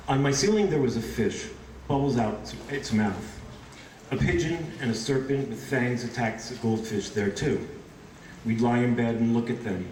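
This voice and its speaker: A man's voice: mid-range voice, a little mellow. Man reading poetry, distant in an echoey room. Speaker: poetry reader. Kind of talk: poetry reading with informal asides. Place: indoor bookshop event in front of audience.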